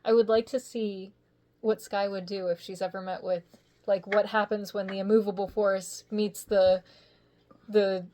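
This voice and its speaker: A woman's voice: medium pitch, level tone, measured pace, warm tone. A woman voice actor chatting in an interview, rambling and giggly, with lots of small hesitations. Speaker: voice actor guest. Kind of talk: casual interview chat.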